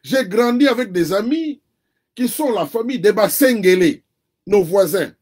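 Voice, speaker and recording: A man's voice: sits high, animated, normal speed, a little mellow, husky. A Congolese man whose first language is Kikongo, speaking as an interview guest in earnest conversational commentary and building his argument point by point. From Democratic Republic of the Congo. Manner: earnest, argues point by point with repetition.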